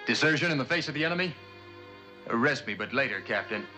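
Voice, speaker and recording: A man's voice: medium pitch, lively intonation, fast, over music, natural voice. An actor playing a bitter, defiant hired gunman in a 1960s television drama, terse and insistent, repeating himself.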